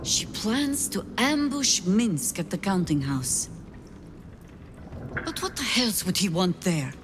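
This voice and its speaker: A woman's voice: medium pitch, very animated, takes it slowly. An actress voicing a game's player character, a woman speaking in a wry, inquisitive way. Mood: puzzled. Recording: game audio with reverb and ambient noise.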